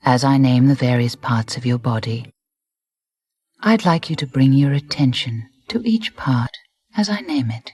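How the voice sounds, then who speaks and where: Slow, breathy low-voiced woman guiding relaxation. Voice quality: soft, breathy. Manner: soothing, deliberate, gentle phrasing with long pauses. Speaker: relaxation guide. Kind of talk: guided meditation narration. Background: music.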